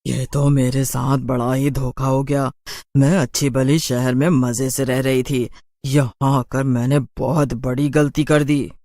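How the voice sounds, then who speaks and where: A man voicing a sly, boastful city witch in a cartoon horror story, in a slow drawl. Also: medium pitch, level tone, warm tone, no echo, studio quality, natural voice. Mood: regretful.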